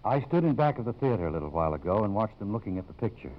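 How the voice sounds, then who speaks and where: An actor in 1950s radio drama playing a grave, middle-aged college president and father, halting and formal. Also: on the low side, very animated. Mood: remorseful. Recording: muffled old 1950s radio broadcast.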